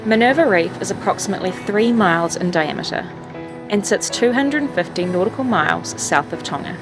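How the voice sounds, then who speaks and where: A woman's voice: mid-range voice, animated, fairly quick. A woman in her thirties giving casual narration for a sailing vlog. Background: music and boat sounds.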